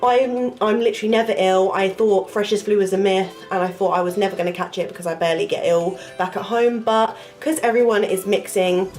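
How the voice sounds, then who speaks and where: A woman's voice: medium pitch, fairly even intonation, fairly quick, few pauses, darkish tone. Young woman, nasal congested voice, chatty rambling vlog talk, faint music behind. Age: young adult. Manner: chatty, rambling, frequent "like" fillers. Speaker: vlogger, university student. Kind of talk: casual storytelling to camera.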